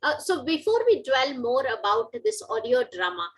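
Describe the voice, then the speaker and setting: A woman's voice: high voice, very animated, normal speed. A woman with an Indian accent hosting an informal interview, phrasing her questions a little hesitantly. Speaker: interviewer, magazine journalist.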